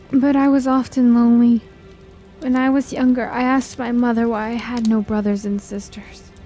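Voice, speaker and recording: An actress playing a gentle young girl with a high, mellow voice. She is troubled and plaintive, speaking simply and earnestly in a fantasy radio drama over music. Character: gentle, troubled girl, an unwanted only child's sister.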